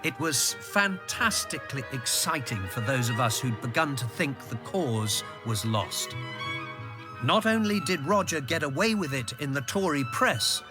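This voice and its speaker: A man acting a loyal political insider who narrates a radio drama in retrospect, in a measured storytelling manner. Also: mid-range voice, big pitch swings, over music. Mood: reflective, intent.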